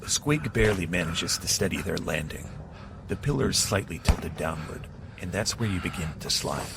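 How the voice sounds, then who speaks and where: Deep-voiced male narrator. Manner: steady, measured storytelling. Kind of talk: scripted second-person narration. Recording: clear background noise.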